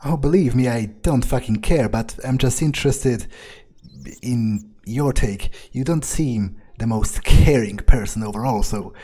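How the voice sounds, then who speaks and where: A man's voice: mid-range voice, a little slow, mellow, lightly acted. A man roleplaying a cynical, self-serving male vampire in a game playthrough, improvising in a hesitant, muttering, dismissive way. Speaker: gamer roleplaying his character. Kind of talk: in-character game commentary, improvised.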